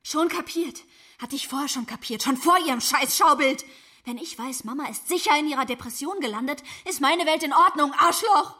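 A woman's voice: high voice, fairly quick, pushed and bright. An actress in a radio drama playing a desperate, impatient teenage girl who talks bluntly and with agitation. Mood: furious.

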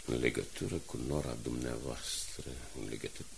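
An actor playing a proud, embattled older newspaper director in a radio drama, formal, commanding and dramatic. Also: male voice, very deep voice, steady tone, vocal fry, faint music behind, full character voice. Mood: troubled.

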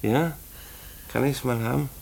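A man's voice: mid-range voice, a little slow, creaky, a little mellow, poor audio. An actor playing a kindly, bashful rural postman in a German radio play, folksy and given to stammering, evasive phrases. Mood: kindly, flustered.

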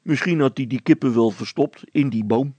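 A man's voice: mid-range voice, normal speed, dark tone, breathy edge, no room sound, lightly acted. A man voicing a stubborn, self-important village constable in a story, speaking solemnly and hesitantly.

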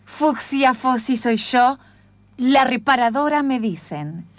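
A woman's voice: sits high, lively intonation, takes it slowly, very noisy. A woman playing a sensational talk-show hostess and mediator in an improvised comic radio play, emphatic and showy, with an Argentine accent. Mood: showy, welcoming. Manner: emphatic, showy, repeats phrases.

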